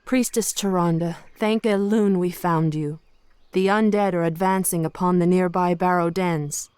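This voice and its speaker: An actress playing an earnest, dutiful night elf warrior bringing word in a video game. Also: female voice, fairly low pitch, steady tone, measured pace, a little mellow, clean tone, dry recording, close to an everyday voice. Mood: urgent. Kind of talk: video game cutscene acting.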